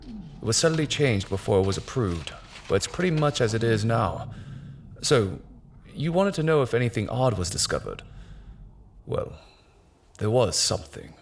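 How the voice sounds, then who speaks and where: A man's voice: medium pitch, sing-song, moderate pace, vocal fry, slight background noise. An actor playing a grave, hesitant construction company man recalling something grim in an audio drama. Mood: grave, measured. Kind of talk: naturalistic audio drama dialogue, recounting in an interview.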